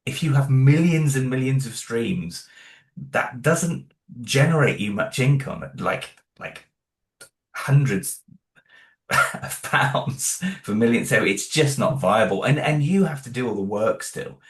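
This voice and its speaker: A man's voice: medium pitch, lively intonation, unhurried. A British man chatting casually as an interview guest, earnest and a little hesitant as he thinks aloud. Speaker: interview guest, independent audio drama creator. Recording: roomy, echoing room sound.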